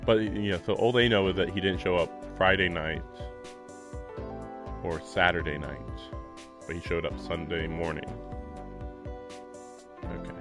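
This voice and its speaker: Chatty man with a lowish voice. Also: fairly even intonation, measured pace, long pauses, over music. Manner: chatty, laughs often, filler-heavy. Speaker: streamer. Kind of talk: casual reaction and reading a game aloud.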